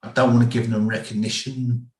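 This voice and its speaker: An English man chatting easily on a video call, agreeable and quick to chuckle. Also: mid-range voice, level tone, roomy sound, far from the mic. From England. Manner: easygoing, agreeable, chuckles often. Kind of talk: casual chat on a video call.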